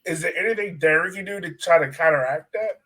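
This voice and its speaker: A man's voice: a little high, quick pace, pushed and bright, low-quality recording. A man co-hosting a podcast in casual sports discussion, talking emphatically and repeating phrases for stress. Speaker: podcast co-host.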